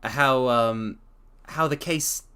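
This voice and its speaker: A man giving a dramatic storybook reading, theatrical and playful, with excited chatty asides. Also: mid-range voice, slow, smooth. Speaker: streamer reading aloud.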